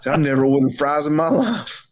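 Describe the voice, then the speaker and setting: High-pitched, foul-mouthed man bantering. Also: expressive, fairly quick. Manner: profane, blunt, joking. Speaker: podcast co-host; wrestling coach. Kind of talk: casual banter and storytelling.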